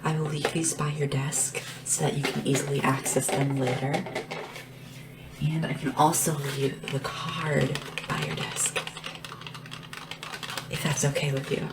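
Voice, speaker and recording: A small, caring assistant to a dragon ruler, acted by a woman in an ASMR roleplay. The voice is low and soft, often slipping into a whisper, with an unhurried, deferential manner. Clear noise and tapping sit behind the lo-fi recording. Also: flat delivery. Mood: playful, caring. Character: small, devoted, gentle assistant to a dragon monarch. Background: tapping and background hiss.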